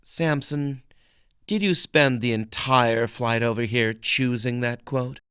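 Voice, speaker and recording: A man's voice: medium pitch, slow, fairly bright tone, clear, resonant tone, no room sound, clean studio sound. A man voicing a sardonic middle-aged woman astronaut. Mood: teasing.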